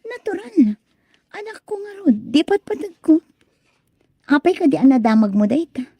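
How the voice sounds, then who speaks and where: A woman's voice: high-pitched, big pitch swings, normal speed, warm tone, no echo. A woman playing an exasperated young wife and mother in a radio drama, whining and scolding sharply. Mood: defensive. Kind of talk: Filipino radio drama acting.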